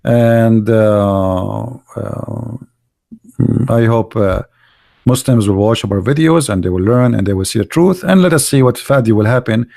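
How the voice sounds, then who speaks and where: A man's voice: on the low side, unhurried, gravelly, dark tone. A middle-aged man hosting a live podcast, talking in a sarcastic, mocking way in broken, English. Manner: sarcastic, heavy accented English with broken grammar. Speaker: host of a religious debate show.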